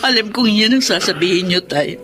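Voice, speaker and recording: An actor playing a grieving son in a radio drama, pleading and tearful. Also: male voice, high-pitched, lively intonation, brisk. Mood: anguished. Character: anguished young man vowing justice. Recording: music bed under voice.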